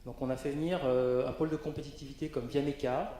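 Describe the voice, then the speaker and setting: A man's voice: mid-range voice, fairly even intonation, fast, mellow. A man presenting on a conference panel, hesitant, with frequent fillers and restarts. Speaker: panel speaker. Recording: very echoey conference hall.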